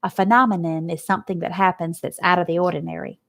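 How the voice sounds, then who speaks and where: A woman reading aloud and voicing a pompous male fair loudspeaker announcer with a grand, booming delivery. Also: steady tone, fast, creaky.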